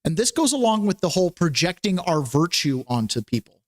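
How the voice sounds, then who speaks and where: A man's voice: fairly high pitch, very animated, a little fast. A man hosting a casual political talk show, joking and sardonic, laughing often. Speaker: show host.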